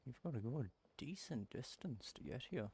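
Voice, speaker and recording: A man's voice: medium pitch, lively intonation, moderate pace, gravelly, darkish tone, breathy edge. A man giving casual gameplay commentary, muttering at himself in exasperation. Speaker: gameplay streamer.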